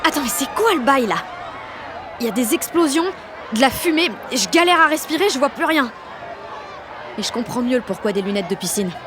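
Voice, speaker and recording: A woman playing a brash, excitable sixteen-year-old girl with grandiose ideas, narrating an audio drama in slangy, dramatic first person. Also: high-pitched, a little fast, long pauses, background noise. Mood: panicked. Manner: slangy, cocky, dramatic teenage delivery. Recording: music and street crowd behind the voice.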